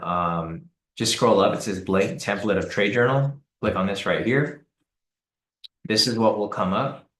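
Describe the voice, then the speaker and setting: A man hosting a live trading stream, hoarse and raspy from the cold, talking casually with many fillers and repeated words. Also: low-pitched, a bit bright, echoey room, poor audio. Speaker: trading livestream host. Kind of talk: casual live-stream commentary answering chat.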